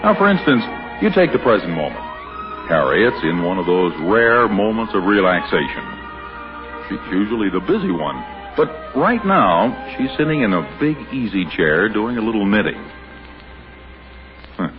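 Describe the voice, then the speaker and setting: A man's voice: on the low side, very animated, moderate pace, music in the background. An American man narrating a 1950s radio sitcom as announcer, genial and wry. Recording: muffled old radio broadcast.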